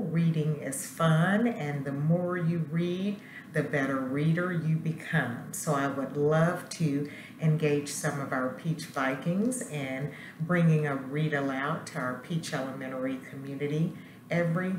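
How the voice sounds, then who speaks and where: A woman with a low voice reads to children as a school principal. She takes her time and tells the story expressively, stopping for asides. The room echoes, with faint noise behind her. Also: darkish tone. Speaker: elementary school principal reading aloud to students. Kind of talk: children's picture book read-aloud with asides. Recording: roomy echo, faint background noise.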